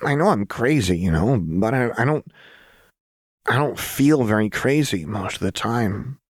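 A brash, twitchy young woman, played by a man in a storybook reading, pitched well above his natural voice. The delivery is cocky and unhinged, full of slang, in a close, dry recording. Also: animated, measured pace, husky, no room sound. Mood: shaky confession. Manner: cocky, slangy, stammering when rattled.

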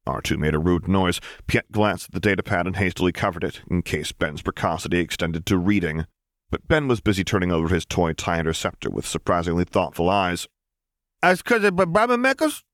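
Male audiobook narrator: low register, very varied melody, expressive story delivery, dry recording. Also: fairly quick, no echo.

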